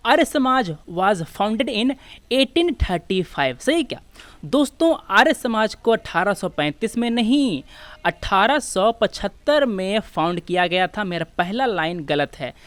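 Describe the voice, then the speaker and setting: Indian lady teacher going thru exam questions, really animated pitch, dry close sound, asks then answers herself. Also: takes it slowly, no echo. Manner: switches between Hindi and English. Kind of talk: instructional lecture walking through exam questions.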